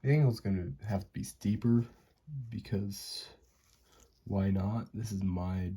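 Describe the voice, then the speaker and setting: A man's voice: fairly low pitch, fairly even intonation, a little slow, mellow, slightly rough. A man casually thinking aloud and rambling as he draws for his channel. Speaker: drawing channel host. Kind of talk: casual drawing commentary. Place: home room.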